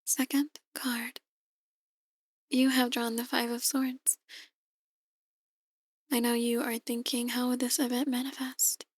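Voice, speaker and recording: A woman playing a soft-spoken, ominous demon tarot reader in an ASMR roleplay, speaking in a measured, breathy near-whisper. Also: a little high, flat delivery, no echo, studio quality. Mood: calm, solemn. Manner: slow, measured, gently ominous. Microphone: close, dry microphone.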